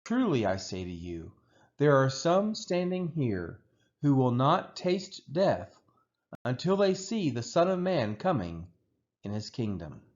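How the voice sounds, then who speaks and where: A man reading scripture aloud in an expressive style, with deliberate, emphatic phrasing. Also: mid-range voice. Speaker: Bible reader. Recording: dull lo-fi sound.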